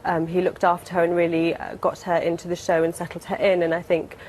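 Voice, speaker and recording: A British woman in her twenties chatting casually as an interview guest, softening what she says as she goes. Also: lowish voice, completely flat delivery, fast, slight background noise, low-quality recording. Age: 20s.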